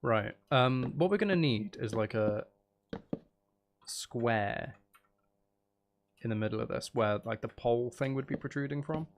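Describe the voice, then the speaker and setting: Creaky-voiced man rambling on a gaming livestream. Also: mid-range voice, measured pace, long pauses, slight background noise. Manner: rambling, thinking aloud, talking to viewers. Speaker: livestream host. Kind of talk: casual gaming livestream chat while building.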